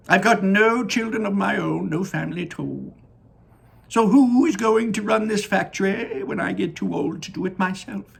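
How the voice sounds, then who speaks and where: Eccentric elderly male candy maker for a storybook, man acting: high, husky, excitable, drawn-out emphasis. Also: heavily acted. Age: older.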